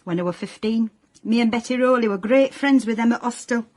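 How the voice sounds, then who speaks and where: A woman's voice: on the high side, a little fast, a little mellow, close to an everyday voice. An actress playing a nagging older mother in a radio comedy drama, dry, sceptical and wistful, with a South Yorkshire accent. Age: middle-aged or older.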